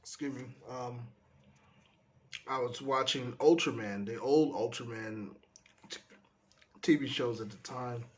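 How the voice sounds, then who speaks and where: A man's voice: mid-range voice, unhurried, long pauses, slight background noise. Stuffy-nosed man rambling over sketches. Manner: relaxed, meandering, trails off often. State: stuffy from a cold. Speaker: artist flipping through his sketchbook. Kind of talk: casual rambling commentary.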